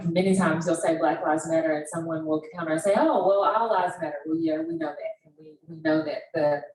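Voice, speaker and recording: A woman's voice: deep voice, a little mellow. A woman answering on a panel in an earnest, conversational way, repeating words for emphasis. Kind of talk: impassioned, conversational panel commentary. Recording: echoey room, distant mic, faint noise.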